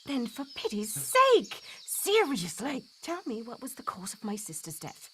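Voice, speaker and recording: High-voiced, earnest damsel client. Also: female voice, huge pitch swings, unhurried, sharp tone, breathy edge, full character voice. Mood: pleading. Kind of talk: live cold-read parody of 1940s radio drama. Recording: live stage recording with background noise.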